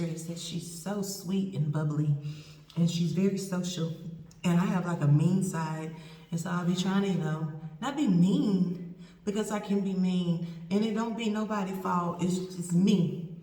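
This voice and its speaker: A woman's voice: lowish voice, dark tone. A woman with an East Texas accent, sore and swollen after surgery, rambling candidly in a casual video diary. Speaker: vlogger recovering from surgery. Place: indoor room with a door. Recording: echoey room, voice from far off.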